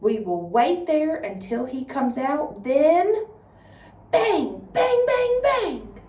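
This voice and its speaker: A woman voicing a crafty, bossy male farmer in a children's storybook, scheming with emphatic, drawn-out, commanding delivery. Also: sits high, sing-song, warm tone, pitched above the performer's own voice, heavily acted. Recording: roomy, echoing, distant microphone.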